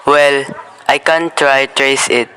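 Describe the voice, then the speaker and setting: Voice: mid-range voice, takes it slowly, clear, resonant tone, rough recording. A student actor playing a formal, officious telephone operator and police desk officer in a school radio play. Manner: flat, officious, procedural.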